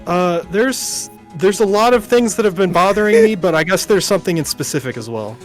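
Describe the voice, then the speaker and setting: Man reading as an earnest, higher-voiced young lawyer. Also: animated, brisk, faint music behind. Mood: doubtful. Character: earnest young male defense lawyer, polite but doubtful. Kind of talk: video game dialogue read aloud by amateur.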